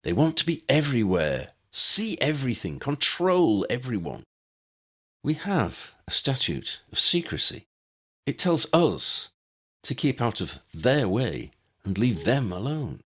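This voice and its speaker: A man voicing a charismatic wizard agitator in an audiobook, making a grave, rallying speech with emphatic stresses and dramatic pauses. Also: mid-range voice, sing-song, fairly bright tone, breathy edge, pitched above the performer's own voice, exaggerated voice. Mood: indignant, urgent. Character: charismatic male wizard demagogue rallying a meeting, impassioned and grave.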